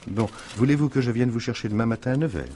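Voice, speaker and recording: An actor playing a polite, quietly insistent male stranger in a French radio mystery in 1950s style, measured and formal. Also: medium pitch, a little hiss or hum, natural voice. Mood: politely insistent. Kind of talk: French radio drama acting in 1950s manner.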